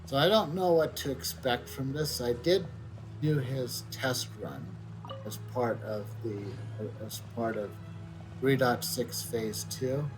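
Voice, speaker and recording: A man's voice: slow, low-quality recording. A man giving casual, hesitant commentary as he streams a video game. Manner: hesitant, low-key. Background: game music.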